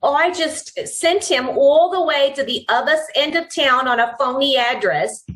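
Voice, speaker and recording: A woman's voice: high-pitched, measured pace, fairly bright tone, rough recording. A woman playing a nervous, placating gangster's girlfriend in 1940s radio style. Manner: hesitant, placating. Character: nervous, pleading female gangster's moll.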